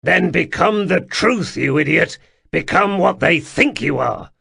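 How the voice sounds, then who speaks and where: A scornful, hardened warrior with a rough, gravelly grain to his voice, speaking slowly and snapping out his words, as a male narrator plays him. His lines rise and fall widely rather than sitting flat. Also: medium pitch, heavily acted. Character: bitter, hardened older warrior man, curt and scornful.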